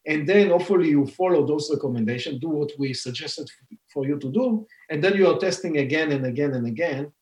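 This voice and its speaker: A man's voice: a little high, fairly quick, a little mellow. Israeli man explaining, echoey room. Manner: explanatory, many fillers and restarts. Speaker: company founder and scientist. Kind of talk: podcast interview. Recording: roomy echo.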